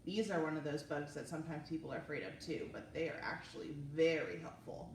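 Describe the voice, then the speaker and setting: A woman reads and chats to small children as a library story time presenter. Her delivery is very lively and singsong, with an encouraging way of addressing young listeners. The recording is roomy and somewhat distant, with faint noise behind her. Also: low voice, fairly quick, vocal fry. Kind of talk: children's read-aloud and chat to young viewers.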